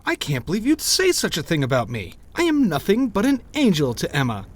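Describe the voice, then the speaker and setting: Animated, cocky young joker, acted by a man. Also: high-pitched, a little fast, full character voice. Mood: mock-offended. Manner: theatrical, mock-offended. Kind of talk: interactive audio roleplay acting.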